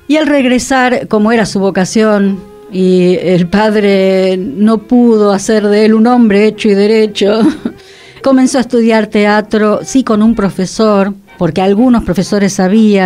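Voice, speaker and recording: A woman's voice: mid-range voice, a little mellow. A woman with an Argentine accent presenting on radio, explaining theatre history informally through anecdotes.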